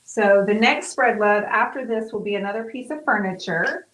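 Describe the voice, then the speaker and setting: A middle-aged woman hosting a live painting stream, chatting casually and warmly with viewers, with frequent "um"s. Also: medium pitch, normal speed, a little hiss or hum, poor audio. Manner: chatty, friendly, talks to viewers with many fillers.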